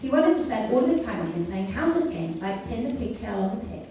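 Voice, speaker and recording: A woman performing a scripted comic story live on stage, with a deliberate mock bedtime-story delivery. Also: medium pitch, very animated, warm tone. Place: live comedy venue, indoors. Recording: very echoey room, distant mic, faint noise.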